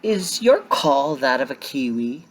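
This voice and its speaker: A man voicing a gentle, caring mother kiwi bird in a storybook, speaking in a soft, reassuring way. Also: medium pitch, very animated, unhurried, pitched above the performer's own voice. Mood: tender.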